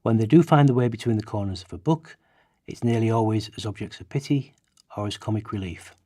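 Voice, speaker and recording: A man's voice: lowish voice, a little fast, warm tone. A man giving declamatory, emphatic readings of quoted prose for a radio play. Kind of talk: dramatic read quotations in a radio play.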